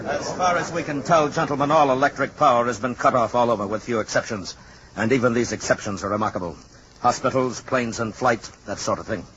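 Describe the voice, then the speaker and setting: A man's voice: mid-range voice, brisk, fairly bright tone, natural voice. A man playing a stern, commanding American army general in an old-time radio drama, speaking with formal, clipped military authority. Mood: grave, measured. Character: stern commanding male army general chairing an emergency council. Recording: dull, noisy vintage radio broadcast.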